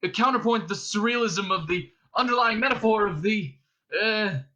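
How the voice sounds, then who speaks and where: A man voices a quick-witted schemer in a comic audiobook, trying to bluff his way out of trouble. He sounds high, sharp and urgent, stammering with nerves. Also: huge pitch swings, muffled recording, full character voice.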